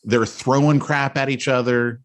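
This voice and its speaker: A middle-aged man co-hosting a podcast, telling a story in a rambling, animated way with many restarts and asides. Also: mid-range voice, measured pace. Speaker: podcast co-host. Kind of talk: casual storytelling chat.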